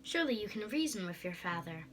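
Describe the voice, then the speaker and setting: Woman reading as an earnest, bright-voiced kindly friar. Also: mid-range voice, big pitch swings, fast, soft background music. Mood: earnest, reassuring. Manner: earnest, reassuring. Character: kindly troubled old friar counselling a girl.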